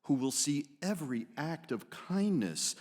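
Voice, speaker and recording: Slow, solemn eighteenth-century physician. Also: male voice, on the high side, sing-song, a little mellow. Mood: solemn, entreating. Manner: formal, deliberate, oratorical. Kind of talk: dramatic live reading of a historical letter. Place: large hall, staged reading. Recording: echoey hall with long reverb.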